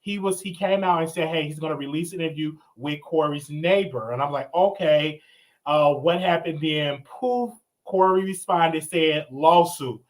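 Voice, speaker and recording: Bright-voiced male online show host. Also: on the high side, moderate pace, poor audio. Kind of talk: live online interview, casual chat.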